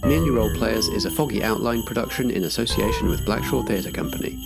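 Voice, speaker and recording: A man's voice: fairly low pitch, fast, creaky, loud music behind the voice. A man reading scripted credits as the announcer of an audio drama podcast. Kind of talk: scripted credits narration over music.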